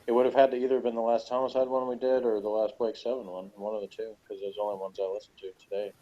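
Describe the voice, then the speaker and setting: Quick-talking man, dull lo-fi sound. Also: on the low side, little pitch movement, mellow. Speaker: podcast reviewer. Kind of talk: casual review chat. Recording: dull lo-fi voice recording.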